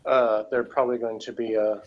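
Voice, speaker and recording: A man's voice: medium pitch, little pitch movement, a little fast, over a noisy crowd. Male review co-host, casual and hesitant, grumbling critic, muffled lo-fi recording. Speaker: podcast co-host reviewing television.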